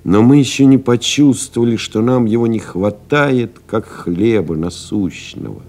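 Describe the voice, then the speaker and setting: An actor playing a pensive airmail pilot who recalls lost comrades in a literary audio drama, solemn and reflective, with measured dramatic pauses. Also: male voice, medium pitch, darkish tone, close to an everyday voice. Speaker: actor reading a literary memoir as narrator.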